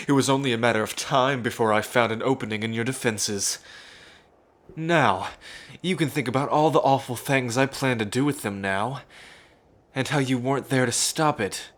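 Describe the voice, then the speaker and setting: A man's voice: mid-range voice, a little slow, fairly bright tone. A man playing a haughty, taunting villain lord who would be emperor, in a theatrical fantasy audio roleplay. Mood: sneering, gloating. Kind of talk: theatrical ASMR roleplay acting.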